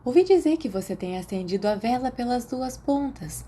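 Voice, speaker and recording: A woman voicing a kindly, concerned school nurse in an audiobook, speaking in a gentle, soothing, coaxing way. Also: on the high side, animated, normal speed, a little hiss or hum, voice pushed up, natural voice. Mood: gently pressing.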